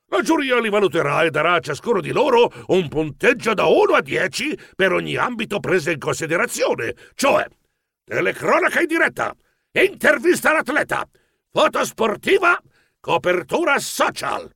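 Bossy elderly grandfather mouse, played by a male reader, loud, gruff, animated, children's audiobook, dry close sound. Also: high voice, moderate pace, no room sound, voice pushed up, heavily acted. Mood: proud announcing. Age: older. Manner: blustering, shouting.

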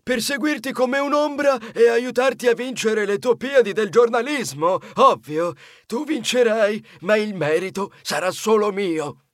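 Cocky freeloader mouse, male actor, boastful, extremely high pitch, children's audiobook, dry studio sound. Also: unhurried, fairly bright tone, no room sound. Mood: smug, boastful. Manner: bragging, cocky.

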